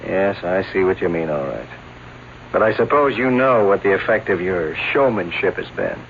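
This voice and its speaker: An actor playing a stern police lieutenant with a gravelly voice, questioning pointedly in an old-time radio drama. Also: male voice, deep voice, expressive, normal speed. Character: stern, sardonic police lieutenant interrogating a man. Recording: muffled, hissy vintage radio recording.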